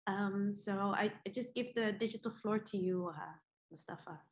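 A woman's voice: medium pitch, normal speed. Woman moderating an online talk. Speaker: moderator and curator.

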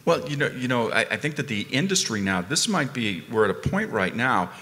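A man speaking on a conference panel, emphatic and animated, repeating phrases as he builds a point. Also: mid-range voice, a little fast, big-room sound. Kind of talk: panel discussion. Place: conference ballroom stage.

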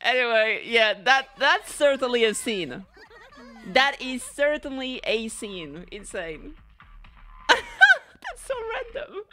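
A young woman streamer giving casual, expressive, joking reaction commentary full of slang. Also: fairly high pitch, slow pace, pauses often, over music.

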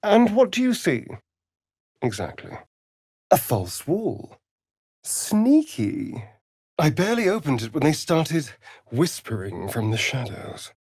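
A man's voice: a little high, sing-song, slow pace, long pauses, darkish tone, slightly rough, no echo, clean studio sound, heavily acted. A man with a British accent voicing a vain, sardonic, seductive vampire rogue in a fantasy video game, with an arch, flamboyant, mocking manner. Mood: wry, mocking.

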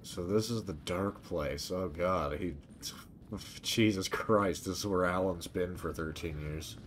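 Very deep-voiced man, slow game streamer. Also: a little hiss or hum. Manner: muttering, frequent swearing. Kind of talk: casual let's play commentary. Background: video game ambience.

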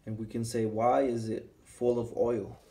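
A man's voice: mid-range voice, little pitch movement, unhurried, warm tone, breathy edge. A man walking through a car sensor test in a hands-on repair tutorial, talking casually step by step while he works in a garage. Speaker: auto mechanic.

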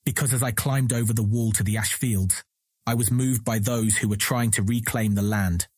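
An actor playing a captured thief, earnest, proud and eloquent, speaking formally and measuredly in a fantasy audio drama. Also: male voice, lowish voice, fairly even intonation, normal speed, darkish tone, breathy edge, no echo, studio quality. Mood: earnest pleading.

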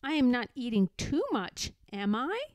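An eager little boy bear cub voiced by a woman in a storybook. The delivery is polite and earnest, with lively pitch and an easy, slow pace. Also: no room sound, clean studio sound.

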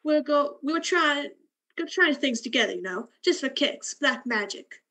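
A woman's voice: sits high, lively intonation, moderate pace, pushed and bright. A woman playing a cold, flippant, bitter young woman in a radio play, with a blunt, sardonic delivery.

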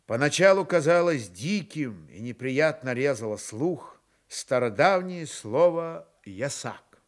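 A man's voice: fairly high pitch, lively intonation, slow pace. A man narrating a literary radio drama in a storytelling style.

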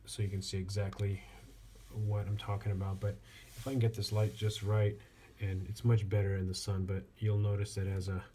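A man giving a casual hands-on product review as a reviewer, speaking in a halting way with frequent pauses. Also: lowish voice. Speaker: watch reviewer. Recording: clear steady background noise.

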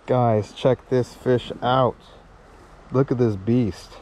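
A man filming himself fishing on a river bank with a chest-mounted camera, excitedly calling out to viewers as he fights and lands fish. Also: mid-range voice, slow pace, a little hiss or hum, low-quality recording. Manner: exclaims often, talks to viewers. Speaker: fishing vlogger. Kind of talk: excited casual commentary while fishing. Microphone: chest or head mounted action camera.

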